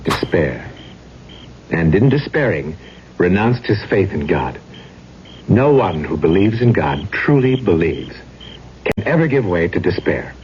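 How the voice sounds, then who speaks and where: An actor playing a courtly, mysterious, melancholy gentleman with poetic, theatrical phrasing in an old-time radio drama. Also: low voice, very animated, a little slow, long pauses. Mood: solemn, tragic. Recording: dull, noisy old radio recording.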